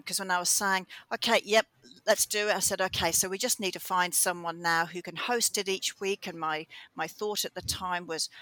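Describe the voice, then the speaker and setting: Woman, mixed English-Australian accent, bright chatty radio host, dry close sound. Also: lowish voice, no room sound. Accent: mix of English and Australian. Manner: chatty, animated storytelling with quick restarts. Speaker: campus radio show host, interviewed as guest. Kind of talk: conversational interview answer.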